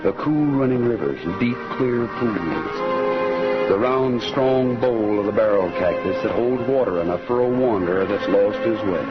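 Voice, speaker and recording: A man's voice: on the low side, close to an everyday voice. Muffled old radio western, guy acting as a kindly reflective cowboy, folksy and poetic, music behind. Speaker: lead actor and singing cowboy.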